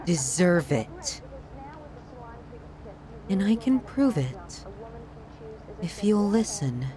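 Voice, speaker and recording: Sadistic yandere lover, played by a woman for horror ASMR: obsessive, menacingly affectionate, very lively intonation, unhurried. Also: medium pitch. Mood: coaxing, pleading. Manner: theatrical, swings from sweet to scornful, sighing. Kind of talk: ASMR horror roleplay monologue.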